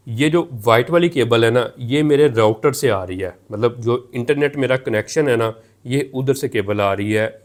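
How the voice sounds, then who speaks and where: A man presents a practical tech tutorial in a mid-pitched voice. He explains things step by step, often restating what he has just said to make it clear. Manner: explanatory, repeats and rephrases each step. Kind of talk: step-by-step hands-on tutorial narration.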